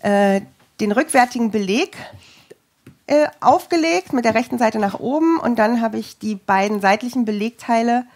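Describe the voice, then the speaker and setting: A woman in her late thirties, a guest who sews while chatting and calmly explains her sewing steps. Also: on the high side, moderate pace. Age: 30s. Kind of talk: casual chat while sewing.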